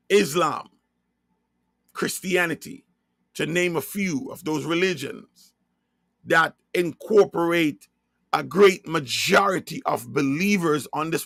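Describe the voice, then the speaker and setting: A man from Jamaica with a Jamaican accent, preaching in a slow sermon-style monologue and hammering his points home by repeating and spelling out key words. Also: a little high, gravelly, a bit bright, no room sound, clean studio sound.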